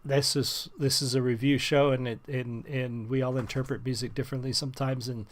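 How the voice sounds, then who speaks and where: A man reacting to and reviewing a song in casual commentary, pausing often with ums and restarting sentences. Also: mid-range voice, flat delivery. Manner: hesitant, many ums and restarts. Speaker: music reviewer.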